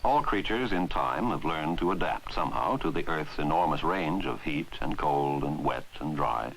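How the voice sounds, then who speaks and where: A man reading scripted narration for a 1960s educational film in a measured, formal way. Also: a bass voice, expressive, creaky, a little hiss or hum, poor audio. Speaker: documentary narrator. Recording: 1960s film soundtrack, loud music. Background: loud background music.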